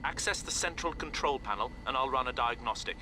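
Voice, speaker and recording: Casting: male actor as efficient spy-agency tech for a game, medium pitch, exact and businesslike, over clear background noise. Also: lively intonation, a little fast, lightly acted. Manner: precise, businesslike. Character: efficient male intelligence tech briefing an agent. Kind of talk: cinematic video game acting. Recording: clear vehicle and ambient noise.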